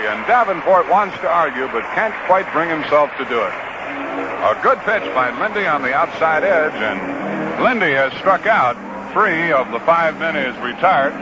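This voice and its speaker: An American man calling live baseball play-by-play on the radio in a folksy, conversational way, with short clipped phrases. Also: on the high side. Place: outdoor ballpark broadcast booth. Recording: noisy vintage 1960s radio broadcast.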